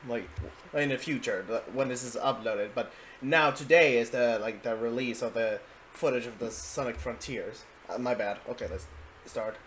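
A man's voice: mid-range voice, normal speed, a bit bright, slight background noise. A man hosting a reaction video, chatting casually in hesitant, rambling asides. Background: faint game music.

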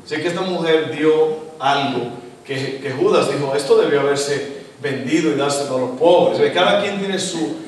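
A middle-aged Dominican man with a Dominican Spanish accent, preaching a sermon as guest pastor, animated and asking the congregation questions. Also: a little high, moderate pace, recorded from a distance. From Dominican Republic. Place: church stage, indoors, large hall. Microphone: handheld mic. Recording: echoey hall, recorded from afar.

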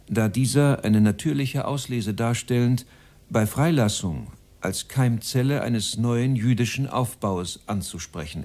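An actor playing a cold, measured Nazi official addressing a meeting in a radio play. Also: male voice, mid-range voice, dark tone. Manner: measured, bureaucratic.

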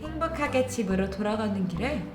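A woman tells a story to children in a high, swooping voice. Her phrasing is expressive, sing-song and drawn out. The sound is echoey, with music playing underneath. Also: a little fast. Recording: echoey room with music behind.

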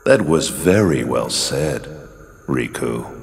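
An actor playing a solemn, ominous, disembodied male guardian of sleep and darkness in a video game, speaking in slow, cryptic phrases. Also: very deep voice, expressive, heavy background noise, heavily acted. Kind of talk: English video game cutscene voice acting. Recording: long cavernous reverb over music.

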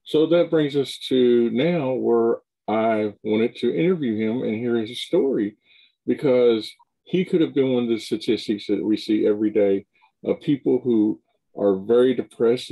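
A man's voice: mid-range voice, moderate pace, gravelly, mellow, rough recording. A middle-aged man hosting an interview over a video call from a home room, talking loosely with many restarts. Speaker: show host. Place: home room with shelves of collectibles.

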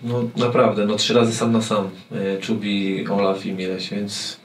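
A man in his forties, a football coach answering press questions after a match, speaking haltingly with repeated words and fillers. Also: fairly low pitch, fairly even intonation. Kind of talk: post-match press conference. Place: press room with sponsor wall. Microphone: table mic on stand. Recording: echoey room, mic at a distance, faint noise.

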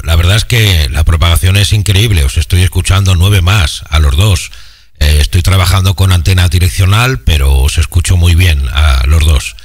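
Deep-voiced man, halting radio chat. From Spain. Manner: halting, repeats words. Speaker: amateur radio operator. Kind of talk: casual radio contact chat. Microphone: dynamic vocal microphone through audio rack.

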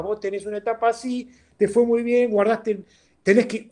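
An older man with an Argentine accent speaks in a strikingly high voice for a man. He talks informally and emphatically, like a seminar guest giving his views over a video call. He often stops to check that he is being understood. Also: darkish tone. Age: 60s. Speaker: interviewed guest, accountant turned entrepreneur. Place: indoor room at home, video call.

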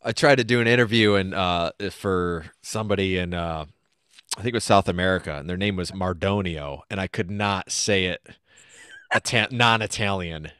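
A young man hosting a podcast interview from a home music studio, easygoing with quick agreeing replies, on a studio microphone with headphones. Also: sits low, fairly bright tone, smooth, no echo. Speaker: podcast host. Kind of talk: casual interview. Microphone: studio mic on a boom arm, headphones on.

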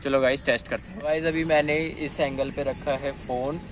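A man's voice: medium pitch, fairly even intonation, moderate pace, background noise. A young man casually unboxing and testing a gadget, talking to viewers as guys, recorded outdoors on a phone camera. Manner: chatty, addresses viewers as guys. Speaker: vlogger. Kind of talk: casual unboxing and test vlog. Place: outdoors in an open dirt field, later riding on a street. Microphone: phone camera, later a chest-mounted phone.

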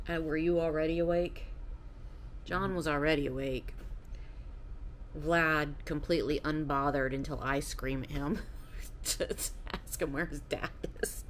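A woman's voice: sits low, measured pace, long pauses, slight background noise. A woman in her thirties talking to a handheld camera in a casual travel vlog, rambling and tired, laughing often. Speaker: travel vlogger. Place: hotel room, then a car. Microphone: phone or camera at arm's length.

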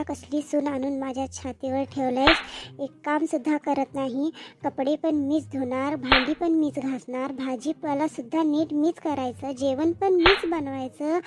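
A woman's voice: sits high, very noisy. A woman voicing a greedy, quarrelsome middle-aged mother-in-law in a comedy cartoon, with melodramatic scolding.

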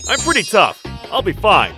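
A man's voice: high voice, wildly swooping pitch, moderate pace, music in the background, full character voice. An actor playing a tough, caring young half-werewolf handyman in an anime dub. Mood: earnest.

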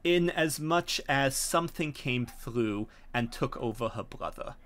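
A middle-aged man runs a tabletop game as its game master. His voice sits at a medium pitch, and he explains scenes in halting, hesitant phrases. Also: animated, a bit bright. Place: indoor home study, video call.

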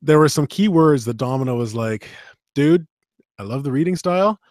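A man's voice: medium pitch, normal speed, dry recording, clean studio sound. A man in his thirties with a slight Canadian accent, reading an action novel aloud and then chatting about narration. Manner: steady dramatic reading, chatty and laughing in talk. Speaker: audiobook narrator and host. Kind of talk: audiobook narration, then casual chat. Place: small home studio with dark drapes. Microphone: studio condenser mic with headphones.

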